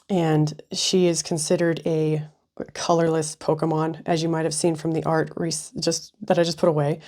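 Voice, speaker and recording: A woman hosting a casual craft tutorial, chatty with frequent ums, her voice slightly raspy and sniffly from a cold. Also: deep voice, dark tone. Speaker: craft video host.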